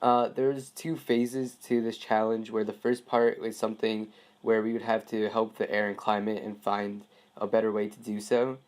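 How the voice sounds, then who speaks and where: A man's voice: on the low side, flat delivery, moderate pace, darkish tone. A teenage male student conducting an informal phone interview, polite and a little hesitant. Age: teens. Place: phone call.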